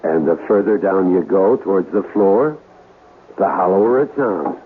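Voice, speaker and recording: A man acting a grave, determined ghost hunter in a radio drama, speaking in a measured, earnest, foreboding way. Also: low-pitched, expressive. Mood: intent. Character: grave, determined male ghost hunter, steady under pressure. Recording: noisy, muffled old radio broadcast.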